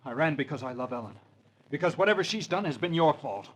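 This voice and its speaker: A desperate, lovestruck young American fugitive in an old radio drama. His fairly high voice swings widely as he pleads earnestly and melodramatically. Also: animated, quick pace. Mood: pleading, desperate. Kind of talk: melodramatic 1940s radio acting.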